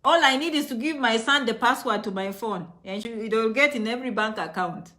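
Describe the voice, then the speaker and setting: A woman with a Kenyan accent speaks straight to her viewers in a casual motivational vlog. Her voice sits fairly high and is very bright and forceful. She is emphatic, repeating short phrases to press her point. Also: a little fast. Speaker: online video host.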